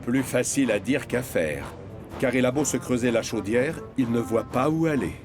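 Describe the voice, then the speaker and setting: A man narrates a children's cartoon tale in a mid-pitched voice. He reads as a storyteller, with music clearly playing behind him. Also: animated, normal speed.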